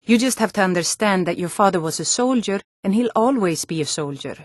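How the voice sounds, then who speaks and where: A woman's voice: medium pitch, animated, fairly quick, dry recording, clean studio sound, lightly acted. A woman voicing a hardy, forthright Nord townswoman and guard in a fantasy video game, speaking in an earnest, firm, plain-spoken way. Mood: earnest, reassuring.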